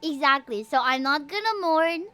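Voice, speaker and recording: A woman livestreaming a game and chatting with viewers in an animated, emphatic, gushing way, with music behind her. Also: high voice, normal speed. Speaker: game streamer. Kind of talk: livestream chat reacting to a game.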